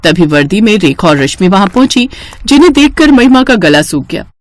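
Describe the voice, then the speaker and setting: Female storyteller for scripted family tale; animated melody, dry studio sound. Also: mid-range voice, a little fast, no room sound. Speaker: narrator.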